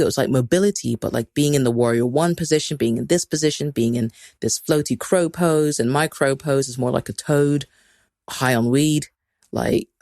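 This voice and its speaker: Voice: mid-range voice, creaky, a little mellow, dry recording, studio quality. A podcast host from Kenya talking casually on a live stream, rambling and self-deprecating, with lots of "like" and motivational asides. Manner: rambling and chatty, heavy use of "like", laughs at self.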